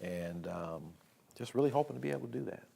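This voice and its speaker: A man's voice: deep voice, lively intonation, fast, vocal fry, warm tone, slightly rough. A man in his fifties, the host of a local talk show, chatting casually with his co-hosts. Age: 50s.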